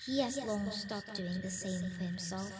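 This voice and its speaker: A woman's voice: medium pitch, moderate pace, music in the background, close to an everyday voice. A woman playing a worried, pleading female ghost in a fantasy audio roleplay. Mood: worried, apologetic. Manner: earnest, pleading. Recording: echoey, distant, with clear background noise.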